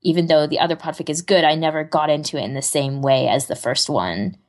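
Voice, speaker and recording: A woman's voice: deep voice, a little fast. A woman co-hosting a podcast, talking in an informal, conversational and agreeable way. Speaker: podcast co-host. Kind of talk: casual podcast chat.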